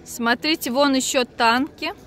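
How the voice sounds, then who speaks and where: A young woman from Dagestan narrates a family outing into a handheld phone. Her voice sits high and she talks casually, turning to the viewers and laughing easily. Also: fairly even intonation, a little slow, fairly bright tone, faint music behind. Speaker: family vlogger, mother. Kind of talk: casual family vlog chat. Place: outdoor park, later inside a car.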